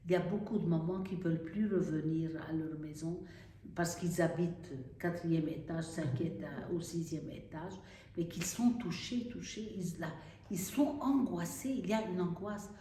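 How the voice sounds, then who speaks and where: Earnest nun, low voice, echoey room. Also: female voice, moderate pace, warm tone. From Syria. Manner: earnest, moved, searching for words. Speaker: Carmelite nun. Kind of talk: interview testimony. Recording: echoey room, distant mic.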